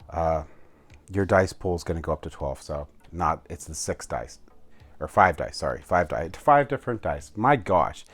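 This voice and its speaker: Deep-voiced man giving a casual walkthrough. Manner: halting, often correcting himself mid-sentence. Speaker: tabletop game reviewer. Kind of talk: casual unscripted product walkthrough.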